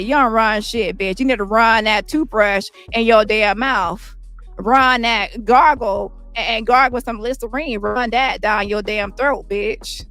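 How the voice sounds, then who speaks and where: A woman streamer ranting with heavy profanity, roasting critics with quick insults in a squeaky voice. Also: a bit bright, dry recording. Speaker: commentary streamer. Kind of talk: ranting commentary.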